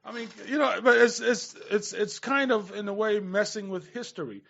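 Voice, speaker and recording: A man talking casually as a panelist on a radio talk show, halting and repeating words as he makes his points. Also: high voice, vocal fry, fairly bright tone. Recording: dull lo-fi radio recording.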